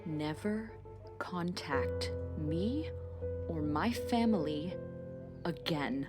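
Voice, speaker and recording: A woman's voice: deep voice, expressive, drawn-out words, over loud music, exaggerated voice. A woman playing a frightened, furious and defiant phone-call victim in a radio play. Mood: cold anger.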